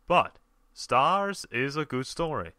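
A man's voice: mid-range voice, sing-song, takes it slowly, bright, forceful tone, dry recording, clean studio sound, voice pushed up, full character voice. A man voicing a suave, teasing but reassuring shadowy being in a dramatic story reading. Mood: apologetic, soothing. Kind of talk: dramatic fan fiction reading.

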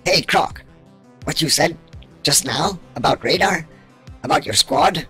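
Man playing a raspy, excitable, mouthy robot. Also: on the high side, measured pace, soft background music, full character voice. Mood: wry. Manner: indignant, blunt, exclamatory. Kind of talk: amateur comic dub acting.

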